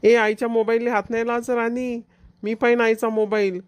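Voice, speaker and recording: A woman's voice: on the high side, flat delivery, a little fast, clean tone, no echo, lightly acted. A woman voicing a bossy, selfish big brother in a cartoon comedy, domineering, with a Vidarbha Marathi accent. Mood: scolding. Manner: domineering, scolding. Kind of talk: amateur cartoon comedy dialogue.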